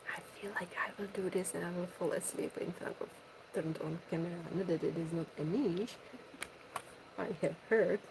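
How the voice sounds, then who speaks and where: A woman with a Czech accent, a native Czech speaker, chatting quietly and hesitantly as an ASMR host, sometimes slipping into whispers. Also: fairly low pitch, steady tone, normal speed, background noise. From Czech Republic. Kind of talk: soft-spoken ASMR chat.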